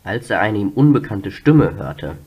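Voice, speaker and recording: A man's voice: lowish voice, big pitch swings, normal speed, slightly rough, lo-fi sound. A man narrating a scripted children's story.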